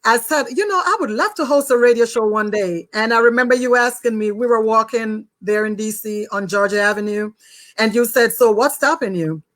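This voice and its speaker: A woman's voice: a little high, a little fast, fairly bright tone. A woman with a Jamaican accent, speaking as the host of an online talk show.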